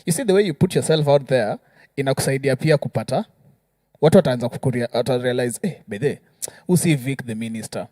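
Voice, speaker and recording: Kenyan male panelist, mid-pitched mellow voice, dry sound, explains through examples with frequent check-ins. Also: quick pace, no room sound. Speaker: musician panelist. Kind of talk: panel discussion, explanatory advice.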